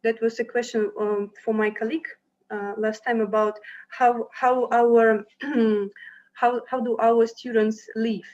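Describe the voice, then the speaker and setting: A woman's voice: a little high, little pitch movement, slow pace, darkish tone. Hesitant woman presenting over a muffled call. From Ukraine. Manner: hesitant, many fillers and restarts. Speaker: university representative presenting to applicants. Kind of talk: online presentation with slides.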